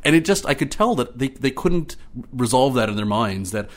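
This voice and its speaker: A man's voice: medium pitch, expressive, normal speed. A Canadian man speaking as an interview guest, talkative and good-natured, telling things in an anecdotal, conversational way. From Ottawa, Canada.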